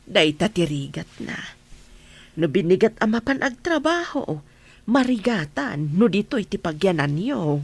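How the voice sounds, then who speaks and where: A woman's voice: medium pitch, big pitch swings, unhurried, creaky, slightly rough, heavily acted. A woman playing a concerned, plain-spoken mother in an Ilocano radio drama, talking in a coaxing way.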